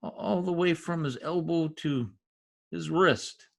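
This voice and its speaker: A woman playing a shot, dying gangster informer in an old-time radio comedy-mystery, halting, groaning and urgent in a melodramatic way. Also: sits low, creaky, exaggerated voice. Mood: weak, insistent.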